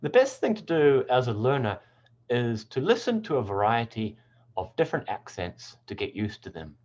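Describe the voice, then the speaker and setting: A man from New Zealand with a mixed New Zealand, American and British accent, explaining English pronunciation in a relaxed online lesson, with frequent ums. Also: mid-range voice, normal speed, dull audio.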